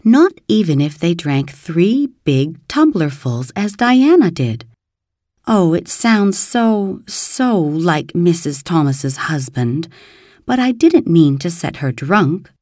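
A woman's voice: on the low side, sing-song, slow, dark tone, no echo, clean studio sound. A woman voicing a dramatic, imaginative orphan girl who wails and sobs in distress. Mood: sobbing. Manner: wailing, sobbing, breathless and overwrought.

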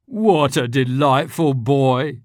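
A giddy old miser bursting with joy, as a storyteller puts him on. The voice is lifted high above the reader's own, and it leaps about with excitement in a dry, close recording. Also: a little slow, no room sound. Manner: excited, exclaiming.

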